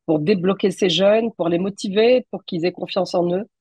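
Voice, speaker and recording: A woman hosting an interview show, speaking in a measured, polite way with some fillers and restarts. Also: medium pitch, a little mellow, breathy edge, no echo. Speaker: show host.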